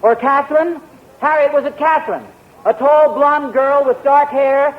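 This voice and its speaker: A wheedling, curious sitcom husband. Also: male voice, very high voice, normal speed. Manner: wheedling, guessing, nervously chatty. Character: curious, teasing, flustered husband. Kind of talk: 1940s radio sitcom acting. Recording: dull lo-fi 1940s radio recording.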